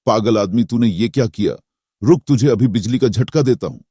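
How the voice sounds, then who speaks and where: A man's voice: on the low side, brisk, dark tone, breathy edge, no echo, studio quality. A man voicing a young male hospital attendant who is skeptical and brash, speaking directly. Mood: furious.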